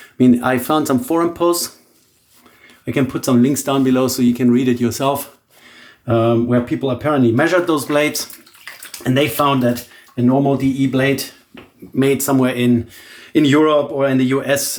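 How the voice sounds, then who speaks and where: A middle-aged man from Germany, a native German speaker with a German accent, talking casually through a shaving demonstration and thinking aloud as he goes. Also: medium pitch. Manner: relaxed, thinking aloud with frequent restarts. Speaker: shaving vlogger. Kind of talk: casual product demo chat.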